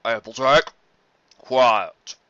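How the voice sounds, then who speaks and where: A man voicing a big, taciturn, stern farm stallion with a gentle heart, speaking in clipped few-word sentences for a story. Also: medium pitch, drawn-out words, dry recording, highs cut off. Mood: stern, subdued.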